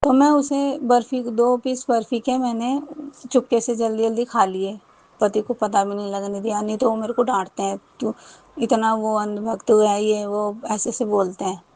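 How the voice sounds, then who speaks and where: Higher-voiced woman telling a rambling testimony. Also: level tone, normal speed, dark tone, poor audio. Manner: rambling, many restarts and repeated thanks. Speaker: devotee sharing a testimony. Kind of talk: personal testimony.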